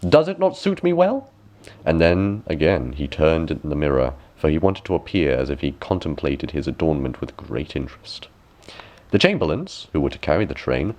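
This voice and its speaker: A man reading a fairy tale aloud as an expressive audiobook narrator. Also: a bass voice. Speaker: audiobook reader.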